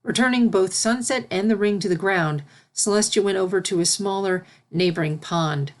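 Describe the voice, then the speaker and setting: A woman's voice: medium pitch, normal speed. A woman reading fan fiction aloud as scripted storybook narration.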